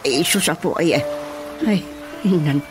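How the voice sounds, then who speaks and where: A man with a Filipino Ilocano accent playing an eager, talkative, comically plaintive rustic young man in a radio drama, with drawn-out exclamations. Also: fairly high pitch, lightly acted. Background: music.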